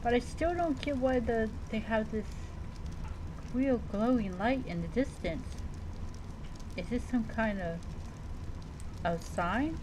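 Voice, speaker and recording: A woman with a mid-pitched voice talks through a video game as a streamer, half in character. She muses aloud without hurry. Clear background noise and game ambience sit behind her voice. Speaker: gaming streamer. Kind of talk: casual in-character game commentary.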